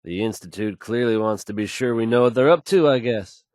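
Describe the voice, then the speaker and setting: An actor playing a smooth, self-assured male radio DJ and announcer in a video game. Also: fairly low pitch, fairly quick, darkish tone, dry recording, studio quality, natural voice. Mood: assured, wry.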